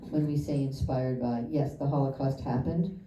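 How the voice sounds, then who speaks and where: A woman with a very low, mellow voice moderates a post-screening discussion, choosing her words with short pauses. She sounds distant in an echoey theater with faint room ambience. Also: steady tone, slight background noise. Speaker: festival director moderating the Q&A.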